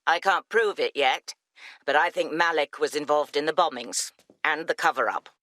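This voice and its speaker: A woman's voice: low voice, moderate pace, bright, forceful tone. An actress playing a ruthless, calculating female spy, in a video game. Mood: menacing. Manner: cool, menacing.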